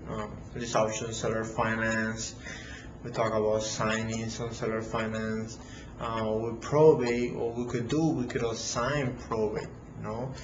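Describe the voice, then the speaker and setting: Man, Latin American Spanish accent, halting casual talk, noisy background, echoey room. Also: medium pitch, muffled recording. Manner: halting, many fillers and restarts. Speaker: real estate investor vlogger. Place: his home, at his computer.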